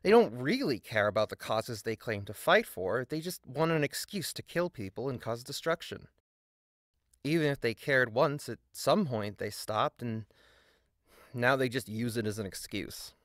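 Sardonic man narrating with lively pitch swings. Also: moderate pace, dry recording, studio quality. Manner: sardonic, emphatic. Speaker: video essayist. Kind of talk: scripted video essay narration with sardonic asides.

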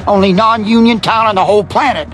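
An actor playing a gruff, cocky frontier militiaman in a video game, talking in folksy, salty slang. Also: sits high, steady tone, fast, a bit bright, faint music behind. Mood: hearty, blunt.

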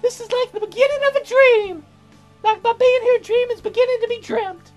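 A man voicing a dramatic, romantic young woman songwriter from a visual novel in a gushing falsetto. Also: normal speed, soft background music. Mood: wistful, dramatic. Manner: melodramatic, gushing.

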